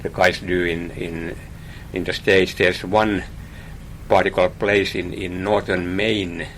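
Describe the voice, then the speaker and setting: A man's voice: sits low, flat delivery, a little slow, fairly bright tone, a little hiss or hum. A man from Finland, a guest in a radio interview, talking about his hobby with many small hesitations and repeated words.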